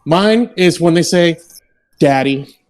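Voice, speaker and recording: A man's voice: a little high, animated, slow pace, darkish tone. A man calling in from a train, laid-back and laughing easily. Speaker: podcast co-host. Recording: noisy train with crowd around.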